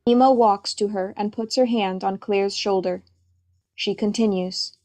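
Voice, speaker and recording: A woman's voice: medium pitch, fairly even intonation, a little slow, darkish tone. A woman reading stage directions as scripted, present-tense narration for a play.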